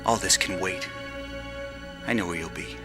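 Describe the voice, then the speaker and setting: An actor playing a cool, sardonic, brusque adventurer in a video game, with a dry, wry manner. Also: male voice, deep voice, moderate pace, over music, lightly acted. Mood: wry, calm. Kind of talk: video game cutscene acting.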